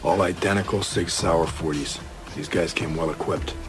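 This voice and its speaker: A man plays a hard-boiled police detective in a radio crime drama. His low voice is dry and clipped in phrasing. Music runs clearly underneath. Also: normal speed, close to an everyday voice. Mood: terse, dry. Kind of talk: police procedural audio drama acting.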